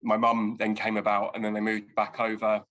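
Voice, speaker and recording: Flat-toned man giving a casual account. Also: fairly low pitch, moderate pace. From Slough, England. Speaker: interviewee.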